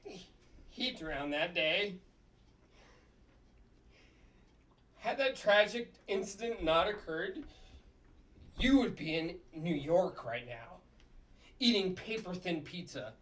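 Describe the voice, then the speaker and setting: A performer acts out a cynical puppet prosecutor in a sitcom script. The delivery is unhurried and blunt, full of sardonic wisecracks. The voice sits back from the microphone. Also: mid-range voice, expressive, fairly bright tone, far from the mic, poor audio. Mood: somber. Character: gruff wisecracking cynical puppet district attorney. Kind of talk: comedy script table read.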